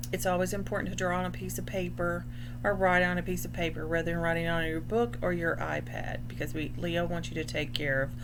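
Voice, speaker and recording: A woman's voice: on the low side, background noise. A woman speaking as a school librarian in an instructional read-aloud for young children, explaining things as she goes. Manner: explanatory, pausing to define words. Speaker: school librarian reading a picture book aloud.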